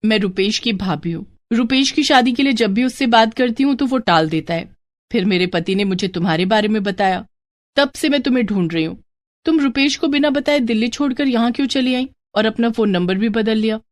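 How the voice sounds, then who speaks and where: A woman voicing a determined, caring young married woman in a dramatized moral story, with expressive, earnest delivery. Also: fairly high pitch, fairly quick, natural voice. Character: determined, caring young married sister-in-law, sly and warm.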